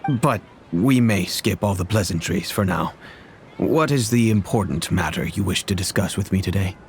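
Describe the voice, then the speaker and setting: An actor playing a middle-aged head of a noble family who speaks in a measured, solemn, cautioning way. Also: male voice, low voice, faint music behind. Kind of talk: game cutscene voice acting.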